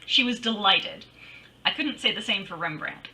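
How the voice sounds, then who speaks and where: A woman's voice: medium pitch, animated, normal speed, sharp tone. A woman playing a wisecracking, breezy female private detective in old-time radio style, with wry, slangy delivery. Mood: wry narration. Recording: distant microphone.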